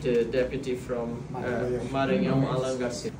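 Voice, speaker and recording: A man interpreting a speech into English live, halting often with restarts and fillers. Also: mid-range voice, completely flat delivery. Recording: roomy, distant mic with faint noise.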